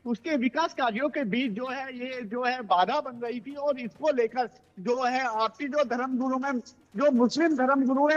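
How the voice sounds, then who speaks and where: A man's voice: very high voice, in a large hall, lo-fi sound. A man reporting live as a news correspondent, unscripted, wordy and repetitive with stock filler phrases, over a broadcast link.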